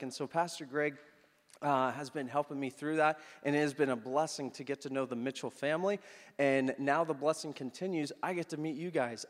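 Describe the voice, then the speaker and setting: Upbeat man preaching in a reverberant church. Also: on the high side. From Philippines. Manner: upbeat and joking with the congregation. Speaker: guest preacher. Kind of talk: sermon with personal story. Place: church hall. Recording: long church hall reverb.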